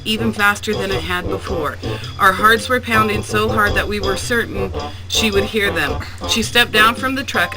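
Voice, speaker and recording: A woman reads a written story aloud like a teacher in front of her class. Her pitch sits in the middle and she sounds amused by the text. Clear music plays behind her voice. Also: rough recording. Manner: amused, often breaking into laughter. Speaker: teacher reading a student essay aloud to class. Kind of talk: reading a scripted personal essay aloud. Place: school classroom.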